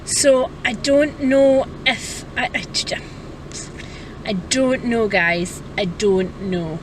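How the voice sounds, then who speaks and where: A woman's voice: on the high side, extremely slow, a little hiss or hum, low-quality recording. A woman chatting casually and candidly to camera as a vlogger, a little self-deprecating. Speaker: book vlogger. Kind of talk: casual vlog chat to camera.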